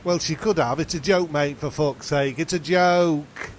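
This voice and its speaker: A crude joker of a castaway played by a man talks slowly in a fairly high voice. He gets exasperated easily, in a radio comedy with faint rain behind him. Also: a little hiss or hum, lightly acted.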